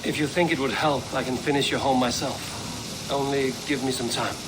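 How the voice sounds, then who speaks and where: A man's voice: medium pitch, steady tone, normal speed, background noise, natural voice. A man playing a stoic, loyal Viking clan leader in a video game, speaking plainly and with restraint. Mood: reserved, earnest. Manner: restrained, plain-spoken, earnest. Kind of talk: dramatic video game acting.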